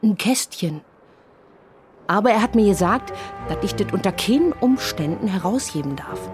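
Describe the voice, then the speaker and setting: Actress as chatty, guileless landlady, medium pitch. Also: animated, normal speed, darkish tone, faint music behind, natural voice. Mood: confiding, flattered. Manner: hesitant, guileless, talkative. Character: chatty, naive, accommodating landlady, easily flattered. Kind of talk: radio drama dialogue.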